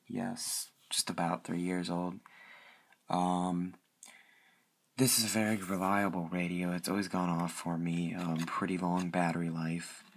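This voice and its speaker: Casual hobbyist show-and-tell: man, low flat voice, rambling and repetitive, faint noise on an amateur recording. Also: creaky. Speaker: hobbyist showing a weather radio collection.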